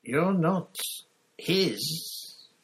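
A man voicing a deranged older official who rambles in halting, urgent bursts, louder and higher than his own voice. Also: expressive, a little hiss or hum. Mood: desperate.

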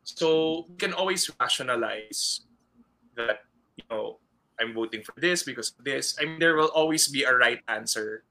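A man's voice: mid-range voice, animated, moderate pace, bright, forceful tone, a little hiss or hum, low-quality recording. A man from the Philippines with a Filipino accent, hosting a casual conversation and talking thoughtfully, often stopping to search for words.

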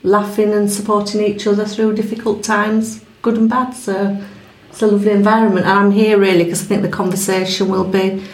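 A middle-aged woman with a Yorkshire accent, a retired nurse and manager chatting with friends, measured and reflective. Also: mid-range voice, flat delivery. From England. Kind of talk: casual group chat. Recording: echoey room.

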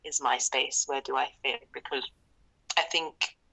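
Breathy, reflective woman with creaky low voice. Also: steady tone, measured pace, pushed and bright, rough recording. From Zimbabwe. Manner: reflective, many fillers, trailing into breathy near-whispers. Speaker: podcast guest. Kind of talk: casual interview conversation.

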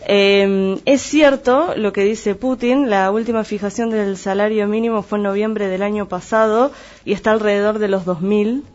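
Dull, lo-fi audio carries a mid-pitched Argentine Spanish voice. The speaker explains in a conversational but didactic way, clarifying terms one step at a time. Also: steady tone, moderate pace, a little mellow.

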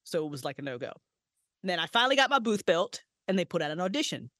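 A woman voice actress and writer, a podcast guest, talking excitedly and swearing freely about her projects. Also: on the low side, quick pace, no room sound, studio quality. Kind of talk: casual podcast interview. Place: small handmade vocal booth.

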